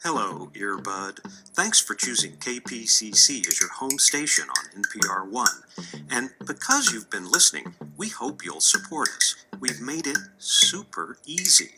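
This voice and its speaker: A man's voice: medium pitch, big pitch swings, normal speed, heavy background noise. A man reading a playful scripted promo, chatting straight to the listener with cheeky instructions. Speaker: presenter of a recorded donation appeal. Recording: loud music under played-back promo. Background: loud music.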